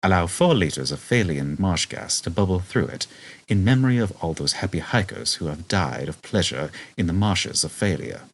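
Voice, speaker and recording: A man with a very deep, husky voice narrates a comic story. His delivery is scripted and dryly humorous, and his pitch moves in lively swings. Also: normal speed, low-quality recording. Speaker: audiobook narrator.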